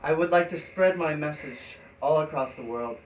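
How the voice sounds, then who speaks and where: A man's voice: a little high, moderate pace. A man hosting an improvised live stream, chatting and explaining things in a rambling, hesitant way. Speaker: live stream host and performer. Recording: noisy live stream with ambient sound.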